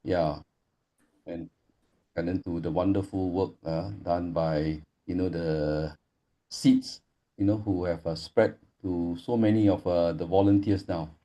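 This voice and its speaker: A man's voice: low voice, steady tone, unhurried. A man moderating an online study group over a video call, talking casually with many "you know" fillers. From Malaysia. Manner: frequent "you know" fillers, warm thanking.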